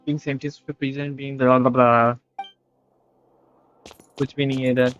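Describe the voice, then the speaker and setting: Indian accent guy streaming, casual game commentary, talks fast, kinda muffled mic, soft game music behind. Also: medium pitch, flat delivery. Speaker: live streamer. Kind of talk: casual gameplay commentary, Hindi-English mix. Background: faint game music.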